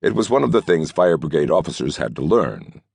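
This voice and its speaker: A man narrating an audiobook novel in a scripted storytelling style, with a gravelly voice. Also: very deep voice, sing-song, brisk, a little mellow, no echo.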